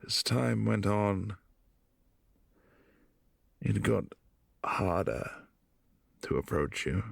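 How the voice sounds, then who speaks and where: A man voicing a brooding, lonely vampire prince in an ASMR roleplay, speaking softly and intimately in halting phrases, close to the mic. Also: lowish voice, sing-song, gravelly, husky, dry recording, heavily acted. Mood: wistful. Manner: soft-spoken, intimate, halting.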